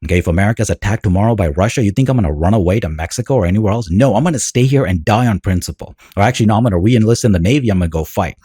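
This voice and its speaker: A man's voice: lowish voice, animated, rapid-fire, hardly any pauses, dark tone, slightly rough, no echo, studio quality. An American man ranting bluntly and combatively in a commentary vlog. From United States. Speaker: political commentator.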